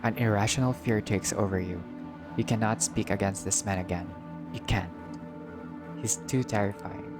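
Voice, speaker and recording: A man's voice: on the low side, steady tone, normal speed. A man playing through a visual novel, reading the game text aloud dramatically and reacting casually as he goes. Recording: loud music under the voice. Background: game music.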